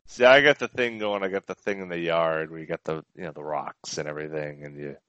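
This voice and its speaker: Dull, slightly lo-fi audio carries a low-voiced American man co-hosting a sports podcast. He chats in an easygoing, conversational way and often repeats phrases. The sound is dry and free of echo. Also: fairly even intonation, brisk, a bit bright. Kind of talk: casual sports chat. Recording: dull, band-limited audio.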